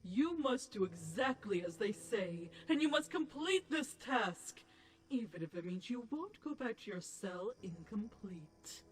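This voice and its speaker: A woman's voice: mid-range voice, sing-song, unhurried, slightly rough. A woman voicing a cold, imperious cartoon queen mother who speaks in a stern, regal, commanding way. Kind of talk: animated fan drama acting.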